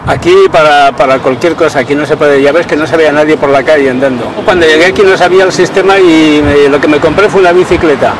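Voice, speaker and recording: Middle-aged man, Aragonese Spanish accent, slightly high voice, casual expat interviewee on location with faint traffic noise. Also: fast talker, few pauses. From Aragon, Spain. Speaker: expatriate interviewee showing a reporter around.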